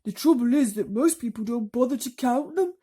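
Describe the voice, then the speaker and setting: A woman's voice: a little high, expressive, brisk, mellow, slightly rough, pitched above the performer's own voice. A woman voicing a prim, indignant earthworm in a storybook reading. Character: prim, shrill, touchy earthworm.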